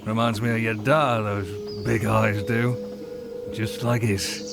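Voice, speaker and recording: An older, tipsy rabbit from a fantasy game, nostalgic and fond. The voice is gravelly and unhurried, with folksy rambling. Music plays clearly behind it. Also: male voice, lowish voice, little pitch movement. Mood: wistful, fond. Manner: slow, rambling, chuckling, folksy. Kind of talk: character acting in a fantasy visual novel.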